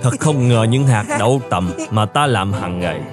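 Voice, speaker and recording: A man's voice: fairly low pitch, normal speed, natural voice. A man playing an elderly, grumbly but kindly husband in a fairy-tale cartoon, speaking expressively. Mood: amazed. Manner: expressive, exclamatory. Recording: music behind the voice.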